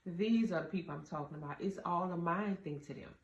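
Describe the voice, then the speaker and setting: A woman with a deep voice gives casual, straight-talking relationship advice to her viewers, calling them y'all with earnest conviction. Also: fairly quick. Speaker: vlogger giving relationship advice. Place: indoor room with a door. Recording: roomy, slightly dull, faint ambient hum.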